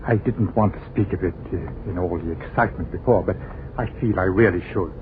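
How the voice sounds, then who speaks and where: A man's voice: low voice, a little fast, lightly acted. An actor playing a fussy, pious village clergyman, hesitant and sanctimonious, in an old-time radio drama. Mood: hesitant, disapproving. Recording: noisy, tinny vintage radio recording.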